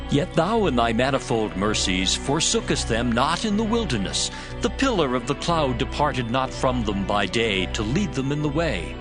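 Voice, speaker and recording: A man's voice: medium pitch, natural voice. A man playing an impassioned Levite, praying in a reverent, oratorical style for a dramatized scripture reading. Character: impassioned male Levite praising God's mercy. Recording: music bed under the voice.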